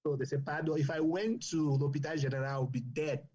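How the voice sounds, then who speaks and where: A man's voice: a little high, fairly even intonation, fast talker, a little mellow, husky. Doctor, male, earnest podcast guest; muffled lo-fi recording. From Cameroon. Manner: earnest, advocating, with frequent restarts. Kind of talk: earnest explanatory podcast talk.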